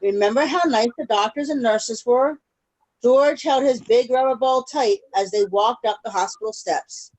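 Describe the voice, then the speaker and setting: A woman with a high voice reads a storybook aloud to small children. She speaks as a storyteller, moving between the text and simple questions to her young listeners, over a video call. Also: a little mellow, low-quality recording. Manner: pauses to check in with young listeners.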